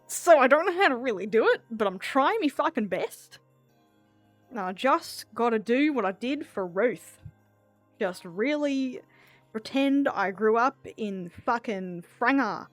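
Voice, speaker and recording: A woman's voice: fairly high pitch, animated, a little slow, soft background music. A woman with an Australian accent, chatting casually with a fellow roleplay player in a sweary, laid-back way. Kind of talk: casual chat.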